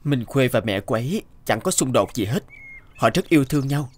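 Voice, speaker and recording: An actor playing an earnest, polite young grieving husband in a cartoon. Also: male voice, medium pitch, lively intonation, fairly quick, background noise, lightly acted. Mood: sorrowful. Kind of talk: Vietnamese cartoon dubbing.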